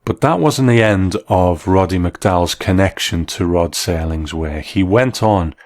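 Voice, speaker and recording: A man's voice: sits low, unhurried, darkish tone. A man hosting a scripted video essay, reviewing in an enthusiastic, explanatory manner. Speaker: host and reviewer.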